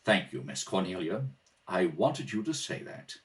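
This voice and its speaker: A man acting out an earnest, formal gentleman butler with measured, sometimes hesitant speech in an amateur radio play read-through. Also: low voice, sing-song, far from the mic. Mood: courteous, composed. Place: at a kitchen table at home.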